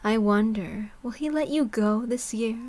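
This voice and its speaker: A woman's voice: a little high, unhurried, a little mellow, lightly acted. A woman voicing a sly, refined female clinic worker who teases in a genteel, cryptic way. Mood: sly, ominous.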